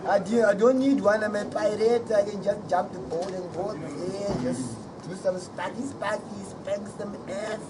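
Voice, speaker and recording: A man's voice: sits high, measured pace. A Zimbabwean man with a Zimbabwean accent, talking in an erratic, boastful way in a rambling street interview. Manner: boastful, erratic, jumps between topics. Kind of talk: rambling street interview, switching between Shona and English. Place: outdoors in a busy urban street. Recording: loud crowd noise outdoors.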